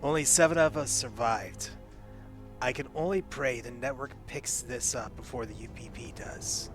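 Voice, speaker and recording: A man's voice: mid-range voice, normal speed, a bit bright, faint music behind, close to an everyday voice. A man reading as a grim marine sergeant. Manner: terse, report-like. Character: grim male marine sergeant filing a final report.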